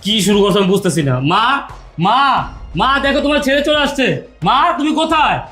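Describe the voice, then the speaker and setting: A man's voice: extremely high pitch, animated, quick pace, pushed and bright, soft background music, heavily acted. A man playing a devoted son back from abroad, who talks in an insistent, pleading way.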